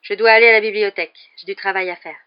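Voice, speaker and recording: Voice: mid-range voice, fairly even intonation, fast, clean tone, highs cut off, lightly acted. A reader as an earnest, downcast teenage schoolboy. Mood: glum. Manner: earnest, feigned casualness. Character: downcast adolescent schoolboy hero, polite but glum.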